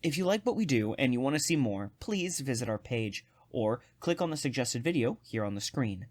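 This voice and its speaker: A man's voice: mid-range voice, lively intonation, moderate pace. A man giving a friendly promo straight to listeners as a channel producer.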